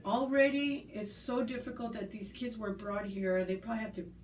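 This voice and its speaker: A woman's voice: on the high side, fairly even intonation, normal speed. A woman who was born in the United States, being interviewed and speaking earnestly and thoughtfully, with fillers and restarts. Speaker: school staff member interviewed. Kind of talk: conversational interview. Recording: roomy, distant mic, faint background noise.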